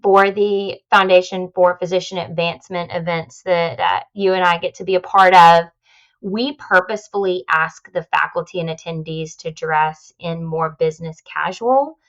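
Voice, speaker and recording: A woman guest on a podcast, calmly giving practical advice on public speaking in a polished, confident way. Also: fairly low pitch, normal speed, highs cut off.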